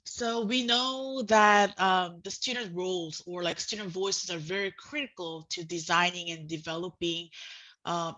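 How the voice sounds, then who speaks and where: A woman with a very bright, fairly low voice moderates a student panel over a video call. Her semi-formal delivery is halting and peppered with informal filler phrasing. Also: rough recording. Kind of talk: semi-formal presenting and interviewing. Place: online video call with screen sharing.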